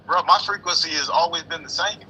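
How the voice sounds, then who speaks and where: A man hosting a heated debate, talking fast and insistently and repeating himself as he pushes his point. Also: on the high side, pushed and bright, a little hiss or hum, low-quality recording. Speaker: host.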